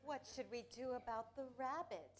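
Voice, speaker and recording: A woman playing a soft-hearted nursery caretaker, hesitant and pleading, in a storybook readers' theater. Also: high voice, normal speed. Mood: concerned.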